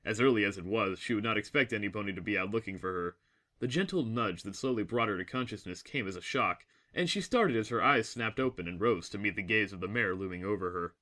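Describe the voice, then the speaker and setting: A man narrates a dramatic story in a fairly low voice with a bright, forceful edge. His delivery is measured, literary and solemn.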